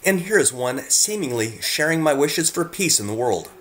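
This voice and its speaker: A man's voice: a little high, very animated, moderate pace, fairly bright tone. A man reading scripted narration in an earnest way, speaking directly to the viewer as he presents his work. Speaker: narrator presenting own photographs.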